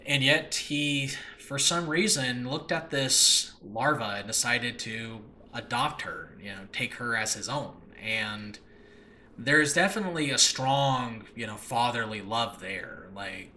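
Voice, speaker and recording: A man's voice: mid-range voice, lively intonation, slow, bright, forceful tone. A man hosting a casual, unscripted podcast about his own audio drama, talking in a rambling, earnest, self-deprecating way. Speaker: host and audio drama creator. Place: makeshift room in a new home. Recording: noisy makeshift home recording.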